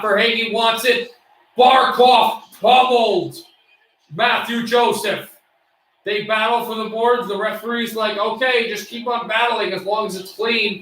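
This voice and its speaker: A man doing amateur play-by-play of a hockey game, excitable and bursting into shouts on shots, his voice getting worn. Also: high voice, heavy reverb, far from the mic, low-quality recording. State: losing his voice. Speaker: play-by-play streamer.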